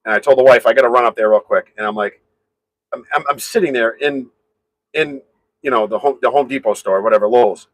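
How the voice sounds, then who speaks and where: A man with a very loud voice chats casually on a live stream, rambling from topic to topic and answering comments. His voice sits at an ordinary middle pitch. The sound is dry and close. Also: brisk, dry recording, low-quality recording. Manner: rambling, chatty, reads and answers viewer comments. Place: home garage.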